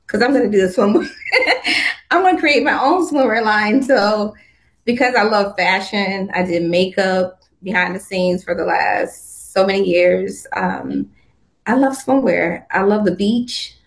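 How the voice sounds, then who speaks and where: A woman's voice: mid-range voice, unhurried. A woman in her fifties from Southern California, chatting about her work as a designer in an upbeat way, laughing often and restarting her sentences. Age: 50s. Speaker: guest, swimwear designer. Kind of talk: casual interview chat.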